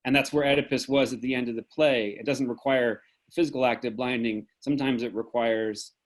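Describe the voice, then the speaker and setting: A man guides an audience discussion over a video call. He speaks in a warm, affirming way as he hands the floor to each speaker. His pitch sits in the middle range. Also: fairly even intonation, brisk. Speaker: discussion facilitator. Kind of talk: moderating a discussion.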